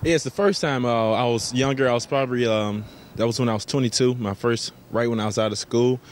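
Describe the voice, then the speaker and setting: A man's voice: mid-range voice, fairly even intonation, fairly quick. An American man, an athlete, answering interview questions casually with thoughtful, hesitant phrasing. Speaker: professional basketball player. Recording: clear background ambience noise.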